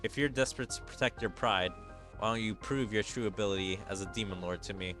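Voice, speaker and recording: A man's voice: on the low side, fairly even intonation, fairly quick, a bit bright, soft background music, close to an everyday voice. A man voicing a commanding, teasing male demon lord in an anime game. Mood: taunting.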